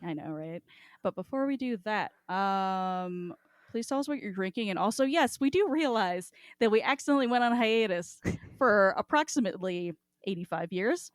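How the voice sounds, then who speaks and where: A woman's voice: medium pitch, animated, normal speed, dry recording. A woman hosting a casual podcast chat in a playful, profanity-laced way. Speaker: podcast host.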